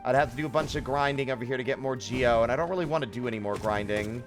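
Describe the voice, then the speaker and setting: A man's voice: mid-range voice, quick pace, music in the background. A man streaming a game live, commenting casually in a rambling, self-questioning way with some swearing.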